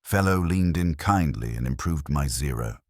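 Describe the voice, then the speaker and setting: A man's voice: rumbling low, animated, normal speed, a little mellow, dry recording, studio quality. A man voicing a wry, talkative male railway porter with a droll, roundabout way of talking, in an audiobook story.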